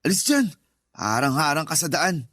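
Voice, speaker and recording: A man's voice: a little high, sing-song, normal speed, a bit bright, slight background noise. A man playing a callous, irritable husband in a Tagalog radio drama, curt and dismissive. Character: callous, irritable husband who resents his stepchild.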